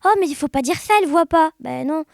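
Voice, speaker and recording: A woman's voice: high-pitched, fast, vocal fry, no room sound, clean studio sound. A woman chatting informally and animatedly as an interview guest. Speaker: interview guest, amateur audio fiction maker. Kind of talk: casual conversational interview.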